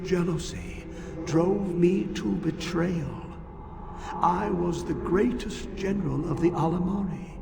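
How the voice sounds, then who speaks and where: An actor voicing the jealous, remorseful spirit of a general in a fantasy game, with anguished, riddling speech. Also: male voice, high voice, creaky, exaggerated voice. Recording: noisy, echoing long hall.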